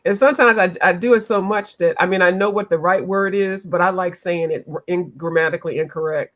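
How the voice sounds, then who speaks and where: A woman's voice: fairly low pitch, fairly quick. Chatty woman streaming from home. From eastern United States. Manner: chatty, reads out and answers live comments; laughs often, playful, drifts between accents. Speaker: coloring livestream host. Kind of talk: casual chat with live viewers.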